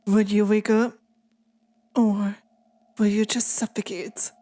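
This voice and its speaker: Slow, possessive demon woman acted by a woman. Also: mid-range voice, level tone, warm tone, close to an everyday voice. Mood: teasing, ominous. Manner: slow, teasing, menacing murmur to the listener. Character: possessive, taunting female sleep paralysis demon. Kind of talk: intimate ASMR roleplay, scripted.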